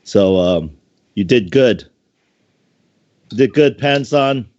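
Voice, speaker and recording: A man's voice: mid-range voice, animated, slow pace, darkish tone, dry recording. A man judging an online contest as co-host, chatting casually and without a script in a laid-back, joking way. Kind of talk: casual unscripted chat. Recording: dry, muffled lo-fi voice recording.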